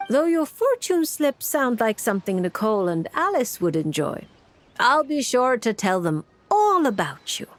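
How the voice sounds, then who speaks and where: A woman acting a cool, composed and blunt fortune-telling mage with a dry manner in a video game. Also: mid-range voice. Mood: politely declining. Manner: composed, matter-of-fact, dry.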